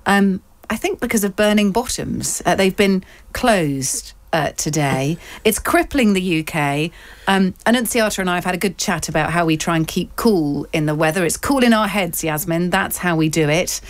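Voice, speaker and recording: A woman's voice: lowish voice, lively intonation, moderate pace, no echo. Chatty woman hosting, dry sound. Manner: chatty, hesitant with fillers. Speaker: radio host. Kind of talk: casual news chat.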